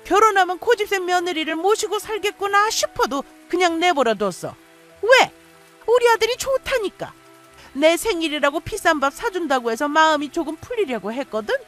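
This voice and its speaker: A woman's voice: extremely high pitch, fairly bright tone, exaggerated voice. A woman playing an indignant, domineering middle-aged mother-in-law in a radio story, scolding with accusatory questions. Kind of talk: radio story drama acting.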